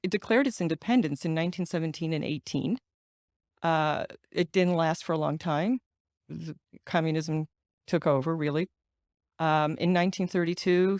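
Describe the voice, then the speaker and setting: A woman's voice: low voice, a little mellow, dry recording, clean studio sound. A middle-aged American woman who grew up speaking Ukrainian first, answering interview questions earnestly and stressing points by repeating words. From United States. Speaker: guest, patient advocate.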